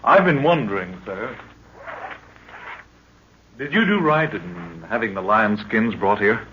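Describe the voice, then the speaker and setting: An actor playing an earnest young adventurer, a professor's assistant, in an old-time radio drama. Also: male voice, on the low side, animated, slow pace. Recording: dull, noisy vintage radio recording.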